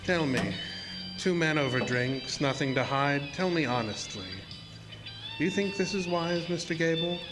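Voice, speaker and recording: An actor playing a sinister, courtly spook-house keeper in a horror audio drama, mock-polite and theatrical. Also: male voice, fairly high pitch, moderate pace, over music, natural voice, rough recording. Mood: suave, probing. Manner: suave, mock-polite, theatrical, formal wording.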